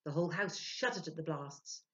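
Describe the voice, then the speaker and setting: A woman in her sixties giving a theatrical, expressive dramatic reading of a story as a professional storyteller. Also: low-pitched, normal speed. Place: small indoor room. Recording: dull lo-fi webcam sound.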